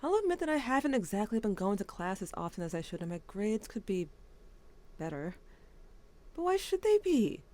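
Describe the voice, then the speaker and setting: A woman's voice: mid-range voice, very animated, normal speed, darkish tone, close to an everyday voice. A woman voicing a grieving teenage boy. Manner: wry, bitter, subdued, swearing. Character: grieving teenage boy writing to his dead brother. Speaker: narrator. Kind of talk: audio drama monologue.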